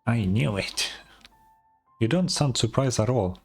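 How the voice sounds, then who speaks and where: A streamer giving casual game commentary, rambling and thinking out loud. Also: mid-range voice, measured pace, breathy edge. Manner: rambling, musing aloud. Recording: game music behind the commentary.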